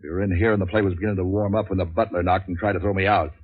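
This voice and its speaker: A low, sardonic male voice from an old 1940s radio thriller, with dull, limited fidelity. a cynical hardboiled troubleshooter who talks in clipped, deadpan wisecracks. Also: rapid-fire, breathy edge. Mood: deadpan narration. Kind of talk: 1940s radio noir acting and narration.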